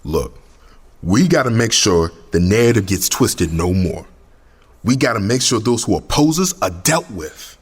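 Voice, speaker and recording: An actor playing an intense, embittered young community activist in an audio drama, impassioned and slangy. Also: male voice, lowish voice, expressive, unhurried, slightly rough, big-room sound. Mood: bitter, militant.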